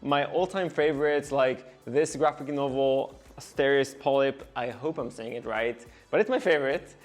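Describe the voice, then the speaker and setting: Earnest animation director, slightly high voice. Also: male voice. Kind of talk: scripted devlog narration.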